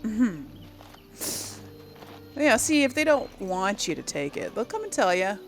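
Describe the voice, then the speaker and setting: Slow, creaky-voiced woman gamer. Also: medium pitch, sing-song, faint music behind. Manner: chatty, thinking aloud to viewers. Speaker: gamer, series host. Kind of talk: casual let's play commentary.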